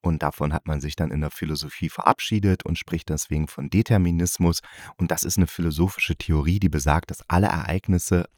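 Male podcast host, low register, colloquial explainer style, dry recording with no room sound. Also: fairly even intonation, a little fast, dry recording. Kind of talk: casual spoken review, explaining to listeners.

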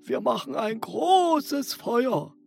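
A woman playing an excitable, good-natured wolf with expressive, drawn-out speech in an audio fairy tale. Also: on the high side, gravelly, faint music behind, exaggerated voice. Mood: pleased.

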